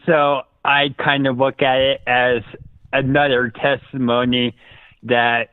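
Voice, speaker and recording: A man's voice: mid-range voice, level tone, slow pace, clear, resonant tone, dry recording. A man chatting casually as a regular caller phoning in to a talk show. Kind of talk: casual phone call-in chat. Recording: dry, narrow phone line.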